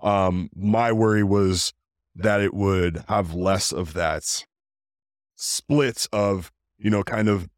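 A man who hosts a podcast, talking casually in a deep voice, rambling with fillers and restarts. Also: slow, no room sound, studio quality. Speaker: podcast host. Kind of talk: casual interview chat.

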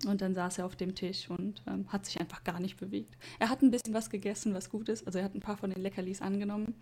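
A woman's voice: mid-range voice, flat delivery, quick pace, darkish tone. Young woman rambling through a story, quotes folks, laughs here and there. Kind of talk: casual chat.

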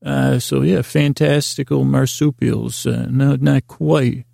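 A man with a mellow, deeply creaky voice rambles through a bedtime podcast monologue. His delivery stays on a flat, narrow pitch while his sentences tangle and circle back with goofy wordplay. It is the talk of a host helping listeners drift off to sleep. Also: slow pace, dry recording, clean studio sound. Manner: meandering, tangled sentences that trail and double back.

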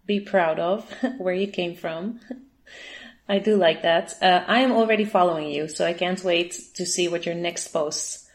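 A woman's voice: lowish voice, measured pace. Woman interviewer asking friendly questions. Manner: friendly, laughs often. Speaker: interview host. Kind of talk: conversational interview.

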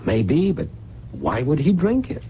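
An actor playing a nervous, resentful, scheming son in a 1970s radio drama, halting and evasive in his talk. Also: mid-range voice, wildly swooping pitch. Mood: worried, scheming. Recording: muffled, noisy old radio broadcast.

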